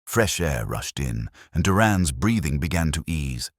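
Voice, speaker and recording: A man reading a detective story as scripted narration, in a measured, formal and theatrical manner. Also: extremely low pitch, very animated, no room sound, studio quality. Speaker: audiobook narrator.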